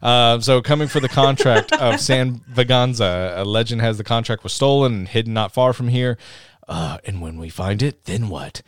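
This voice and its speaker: A man's voice: mid-range voice, moderate pace. A man co-hosting a podcast, chatting casually about a movie, sarcastic and jokey, often acting out lines from it. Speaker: podcast co-host. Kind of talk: casual movie review chat.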